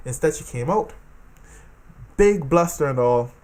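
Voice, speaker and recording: A man from the Caribbean talking casually about politics in an animated monologue, repeating phrases for emphasis. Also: mid-range voice, measured pace. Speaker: political commentator.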